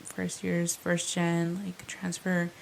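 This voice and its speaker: A woman's voice: fairly low pitch, completely flat delivery, takes it slowly, gravelly, a little hiss or hum. A young adult woman co-hosting a podcast in casual chat, talking with plenty of fillers and easy laughs. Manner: chatty, laughs easily, fillers like "like" and "um". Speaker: podcast co-host.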